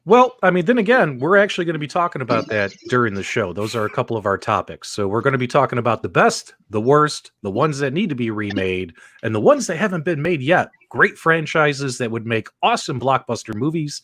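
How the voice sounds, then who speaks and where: Lively, animated man hosting. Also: mid-range voice, brisk, hardly any pauses. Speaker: livestream show host. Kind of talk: casual livestream hosting, chatty explaining.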